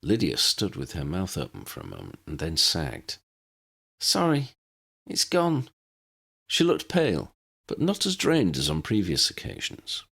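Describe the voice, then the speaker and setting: Low-voiced male audiobook narrator. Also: wildly swooping pitch, moderate pace, vocal fry, a bit bright, breathy edge. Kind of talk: scripted fiction narration.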